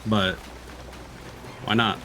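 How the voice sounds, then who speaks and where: A man's voice: lowish voice, flat delivery, extremely slow, noisy background. A man giving casual live commentary as he plays a game, muttering asides and reacting with short exclamations. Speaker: streamer. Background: game music.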